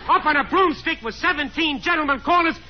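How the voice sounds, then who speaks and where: A man's voice: extremely high pitch, fairly quick, slight background noise. An actor playing a restless, sardonic young man, a grown son, in a 1950s radio drama. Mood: bitter mockery. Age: young adult. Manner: sarcastic, dramatic. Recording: dull 1950s radio recording.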